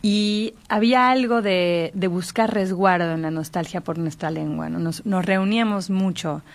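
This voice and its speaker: A woman's voice: lowish voice, normal speed. A middle-aged Mexican woman speaking as a guest in a reflective literary conversation, articulate and thoughtful. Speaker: interviewee, writer.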